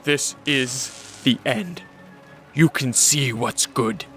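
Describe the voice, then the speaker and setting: An actor playing a brooding, weary vampire nobleman in a radio drama, speaking with solemn intensity in a gravelly voice. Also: mid-range voice, sharp tone, faint music behind. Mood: grim, forceful. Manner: slow, intense, solemn delivery.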